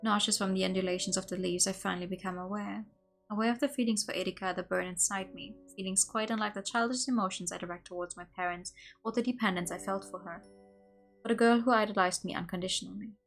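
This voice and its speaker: A woman streamer reading a visual novel aloud in an expressive way, with laughing asides. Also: mid-range voice, fast talker, vocal fry. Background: faint game music.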